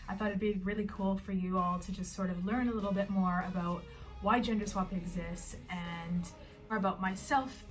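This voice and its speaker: A woman in her twenties with a Canadian accent, talking casually to camera from her bedroom, explaining things in a friendly, loose way with filler words. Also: mid-range voice. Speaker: vlogger and organization founder. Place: own bedroom.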